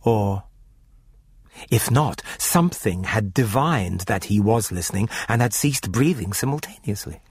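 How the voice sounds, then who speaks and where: A man's voice: lowish voice, lively intonation, unhurried, husky, dry recording, clean studio sound. A man narrating a radio horror story in a dramatic, scripted style, with music under his voice.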